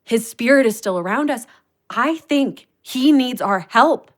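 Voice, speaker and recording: A woman's voice: fairly high pitch, expressive, unhurried. An actress playing an earnest, loyal, spiritually minded woman friend in a naturalistic audio play, speaking with sincerity. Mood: earnest, wondering. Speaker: voice actor in audio drama.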